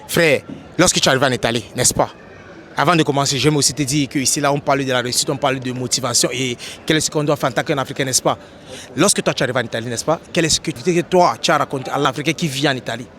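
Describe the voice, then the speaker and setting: A man hosting an outdoor street interview, asking animated questions and often checking with "n'est-ce pas?". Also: mid-range voice, fast talker. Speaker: host, interviewer.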